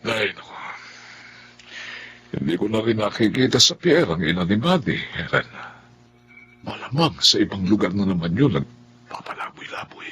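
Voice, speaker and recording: Concerned Filipino husband for family drama: mid-pitched, lively intonation, faint hum behind him. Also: male voice, normal speed, pauses often. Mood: resigned concern. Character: Ador, concerned, prayerful husband and family elder.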